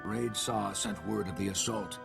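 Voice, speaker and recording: A man voicing a stern, terse alien leader in a video game, speaking formally in clipped, grave sentences with a gravelly voice. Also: fairly low pitch, a little slow. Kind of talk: video game cutscene acting. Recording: loud music under the voice.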